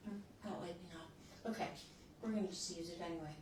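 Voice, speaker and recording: A woman's voice: fairly low pitch, steady tone, fast, very echoey, distant mic, noisy background. A woman in her sixties who hosts a beauty channel, chatting casually through a makeup tutorial and often restarting her sentences as she works. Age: 60s.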